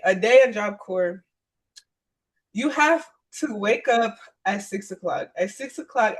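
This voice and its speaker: A woman's voice: medium pitch, breathy edge, low-quality recording. A woman in her twenties telling stories casually and candidly as an interview guest, emphatic and slangy, repeating phrases for emphasis. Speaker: interview guest, trade program graduate.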